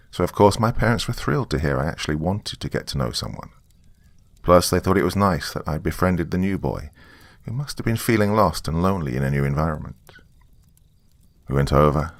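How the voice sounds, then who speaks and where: A man's voice: sits low, vocal fry, dry recording. A man narrating a story in the first person.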